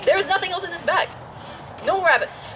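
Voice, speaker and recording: A woman's voice: very high-pitched, a little fast, a loud crowd, pitched above the performer's own voice. A woman voicing a pompous male magician puppet in a home puppet show, announcing his tricks grandly. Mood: showy, defensive.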